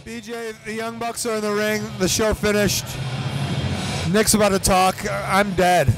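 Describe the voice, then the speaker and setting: A man chats backstage with his commentary partner in jokey, nervous banter. His voice sits a little high for a man. Clear music plays underneath him. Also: flat delivery, a little slow, low-quality recording. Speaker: play-by-play commentator and podcast host. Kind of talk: casual backstage chat.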